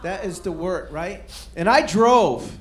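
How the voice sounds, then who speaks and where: A middle-aged man doing a stand-up comedy set on a club stage, deadpan and self-deprecating, with rambling asides. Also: high voice, takes it slowly. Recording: roomy live club with crowd noise. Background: audience murmur and laughter.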